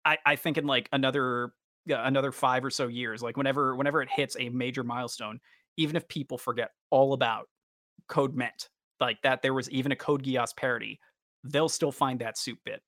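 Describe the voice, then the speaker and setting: A man's voice: mid-range voice, steady tone, fairly quick, a bit bright, dry recording, clean studio sound. A man hosting a podcast, talking casually and with enthusiasm about fandom. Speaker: podcast host.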